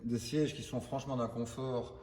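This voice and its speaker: A man's voice: mid-range voice, fairly even intonation, normal speed, dark tone, long reverb tail, slight background noise. An older man, explaining car restoration in a casual interview, hesitant and repeating words. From Italian roots.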